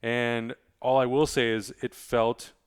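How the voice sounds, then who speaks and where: A man's voice: medium pitch, little pitch movement, slow pace, smooth. Casual man hosting a movie chat. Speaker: show host.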